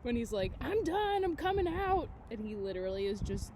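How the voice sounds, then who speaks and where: A woman teacher reading aloud to children, with expressive delivery and casual, chatty asides that put questions to her listeners. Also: on the high side, a little fast, noisy background. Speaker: elementary school teacher.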